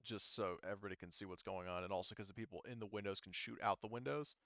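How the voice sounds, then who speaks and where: A man acting as game master, casually refereeing a tabletop game and thinking aloud in a halting way. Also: on the low side, a bit bright, no room sound, highs cut off.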